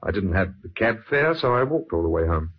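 A man's voice: sits low, very animated, brisk. An actor playing a plain-spoken sailor answering police questions in a 1950s radio drama, a man's voice. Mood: matter-of-fact. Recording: dull old radio recording.

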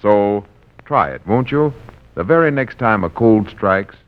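A man working as a radio announcer, delivering a measured, friendly sponsor message in 1940s style. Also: fairly low pitch, expressive. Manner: friendly direct address, measured. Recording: muffled vintage radio recording.

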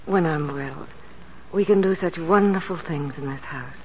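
A woman's voice: low-pitched, moderate pace, slight background noise, natural voice. An actress playing a frail, devoted woman pianist who is ill, speaking tenderly and wistfully in a 1940s radio drama. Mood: hopeful, playful. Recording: muffled 1940s radio recording, music behind.

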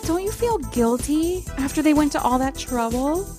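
Woman reading as a curious, high-voiced teenage girl. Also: lively intonation, moderate pace, over music. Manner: conversational, prompting.

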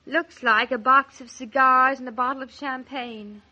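Bright, high-voiced young wife. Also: female voice, fairly even intonation, normal speed, dull audio, exaggerated voice. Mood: curious, teasing. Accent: American. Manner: playful, impulsive, girlish. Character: lively, teasing young newlywed wife. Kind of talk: 1940s radio acting.

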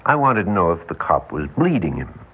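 An actor playing an older, world-weary defense lawyer, folksy and wry, with rambling, emphatic delivery in a 1960s radio drama. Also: male voice, low-pitched, very animated, fairly quick, breathy edge, narrow, tinny audio, heavily acted. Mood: explaining, wry. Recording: band-limited vintage 1960s radio recording.

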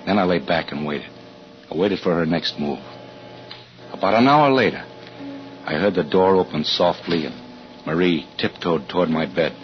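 Low male American, anxious, suspicious husband narrator, deliberate 1940s radio suspense style, dulled vintage recording. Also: animated, highs cut off, soft background music. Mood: grim, tense.

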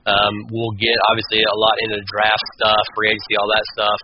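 A man hosting a sports podcast, talking through his analysis casually in a halting way, repeating words and restarting. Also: lowish voice, completely flat delivery. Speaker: podcast host. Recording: muffled, clearly noisy, radio-like audio.

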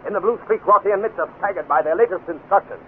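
An actor playing a dramatic, urgent announcer narrating a 1950s radio adventure serial. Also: male voice, high voice, quick pace, narrow, tinny audio, natural voice. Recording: thin, faintly noisy old radio recording.

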